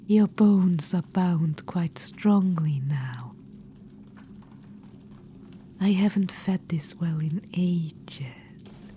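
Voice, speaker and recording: Undead witch-queen, haughty and cruel yet doting, very dark hushed whisper, faint ambience behind ; a woman performs her. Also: fairly low pitch, slight background noise. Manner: whispered, purring, calls listener darling; haughty and gleefully macabre. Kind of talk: whispered ASMR audio roleplay.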